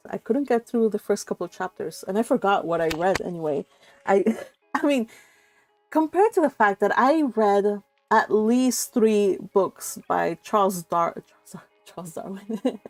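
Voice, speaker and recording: A woman's voice: medium pitch, no echo. Gushing woman chatting on a livestream. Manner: gushing, giggly, rambling with self-interruptions. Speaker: livestream host of a book read-along channel. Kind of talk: casual live-stream chat, reading out viewer comments.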